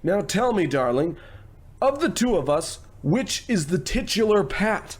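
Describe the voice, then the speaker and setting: A man's voice: high voice, big pitch swings, unhurried, breathy edge, full character voice. A man playing a pushy, self-important showbiz producer, gushing and condescending, in an old-time radio comedy. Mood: bossy, condescending. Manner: bossy, gushing, condescending pet names.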